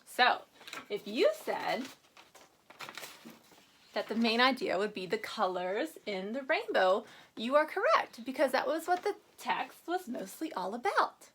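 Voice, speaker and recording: A woman teacher giving a read-aloud reading lesson to children, instructing with questions, prompts and deliberate emphasis on key words. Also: on the high side, sing-song, a bit bright. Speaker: elementary school teacher.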